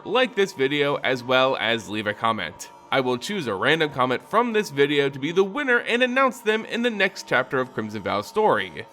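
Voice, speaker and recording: A man's voice: medium pitch, pushed and bright. A man hosting a fantasy lore channel, reading a story as dramatic scripted narration.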